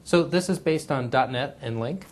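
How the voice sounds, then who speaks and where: Man casually interviewing, mid-pitched. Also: normal speed. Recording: clear low rumbling room noise.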